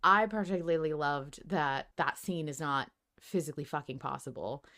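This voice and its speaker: Lower-voiced woman, emphatic podcast talk. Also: moderate pace, pushed and bright. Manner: emphatic, casually sweary. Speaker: podcast host. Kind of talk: casual fan discussion.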